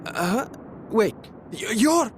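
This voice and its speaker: A man's voice: sits high, big pitch swings, a little hiss or hum, heavily acted. An actor playing a humble, nervous fisherman who stammers as he brings grave news in a game cutscene. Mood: shaken. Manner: stammering, halting. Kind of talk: video game cutscene acting. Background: orchestral music.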